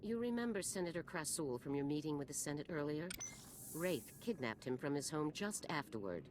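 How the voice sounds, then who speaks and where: A woman's voice: deep voice, expressive, brisk, noisy background. An actress playing a stern female military general in a video game, speaking in a formal, authoritative, clipped manner. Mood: grave. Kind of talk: video game character acting.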